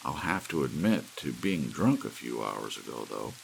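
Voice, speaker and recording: A man takes on a young druggist in a sci-fi audiobook, bewildered and humble. He falters sheepishly, with lively intonation and a creaky edge over soft rain noise. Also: extremely low pitch, moderate pace, exaggerated voice, low-quality recording. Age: young adult.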